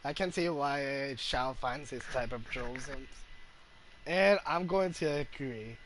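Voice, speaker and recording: A man's voice: mid-range voice, a little slow, a bit bright, slight background noise. A man giving casual gameplay commentary as a game streamer.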